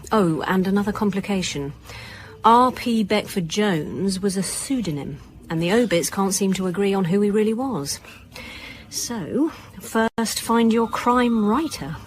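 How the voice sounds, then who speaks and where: A woman playing a wry, brisk police inspector in a naturalistic radio drama, with a dry manner. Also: medium pitch, natural voice. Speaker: actor in an audio drama.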